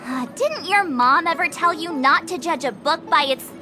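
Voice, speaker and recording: A woman's voice: high voice, lively intonation, normal speed. A woman voicing a small, childlike, cheeky mascot creature in a video game, exclaiming and teasing. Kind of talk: video game character acting. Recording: loud music behind the voice.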